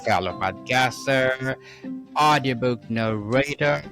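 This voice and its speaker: A man's voice: medium pitch, slow pace, over music. A man speaking as a podcast host, introducing and questioning in an interview style. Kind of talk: interview hosting.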